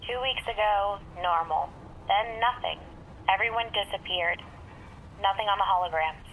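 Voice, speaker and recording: A woman playing a brisk, sardonic warrior-engineer in a fan audio drama, with a clipped, dry manner. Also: mid-range voice, fairly bright tone, slight background noise, natural voice, rough recording. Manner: clipped, dry, matter-of-fact.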